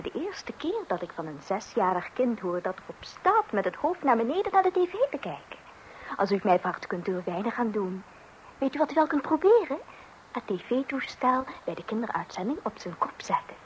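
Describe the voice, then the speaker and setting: A brisk agony aunt talks in a fairly high voice that moves up and down a lot. It is radio drama, with faint noise on the recording. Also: female voice, sing-song, rough recording. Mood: brisk, reassuring. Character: brisk magazine advice columnist answering a worried mother. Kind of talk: Dutch radio play acting.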